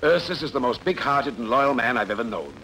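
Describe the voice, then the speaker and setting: A man's voice: medium pitch, expressive, a little fast, bright, forceful tone. A man playing a hearty, loyal rebel tavern keeper in a noisy film dub. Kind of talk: dubbed costume-adventure film acting. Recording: noisy old film soundtrack.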